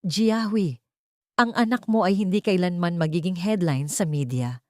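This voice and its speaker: Audio story role: a woman acts an icy woman executive, lowish voice, sharp and controlled. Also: dry recording, studio quality, lightly acted. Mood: commanding. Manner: sharp, cutting, deliberate. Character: cold, commanding, vengeful female company director.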